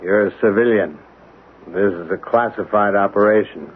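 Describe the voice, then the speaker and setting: An actor playing a calmly stubborn, evasive colonel in a 1970s radio drama, measured and officious. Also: male voice, lowish voice, close to an everyday voice. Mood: coolly firm. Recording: narrow-band, hissy 1970s radio recording.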